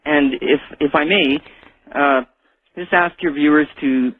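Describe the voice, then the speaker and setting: A man calls a radio show over a thin telephone line. His voice sits somewhat high for a man, and he speaks haltingly, wandering through his thoughts. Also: fairly even intonation, no room sound. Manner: halting, rambling, hesitant. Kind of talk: casual phone-in conversation. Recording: tinny phone line.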